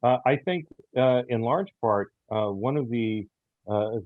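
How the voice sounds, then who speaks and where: A man in a casual panel chat, speaking as an audio theater producer in a halting, measured way. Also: medium pitch. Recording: muffled, phone-like thin audio.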